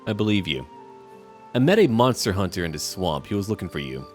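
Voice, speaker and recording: Deep-voiced man casually playing a game. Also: big pitch swings, a little fast, faint music behind. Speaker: gamer playing through a role-playing game. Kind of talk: casual game commentary, speaking dialogue choices aloud.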